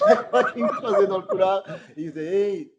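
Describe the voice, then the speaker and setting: A man's voice: sits high, huge pitch swings, normal speed, heavy background noise. A man with a Belgian French accent telling funny stories in a casual podcast chat, laughing often and filling with lots of fillers. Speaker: guest comedian.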